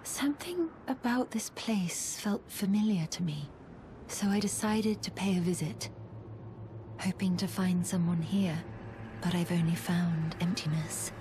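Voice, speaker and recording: An actress playing a soft-spoken, melancholy young woman traveller who talks in a hushed, wistful way. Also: on the low side, a little slow, lightly acted. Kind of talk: video game voice acting. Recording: game cutscene with ambient noise.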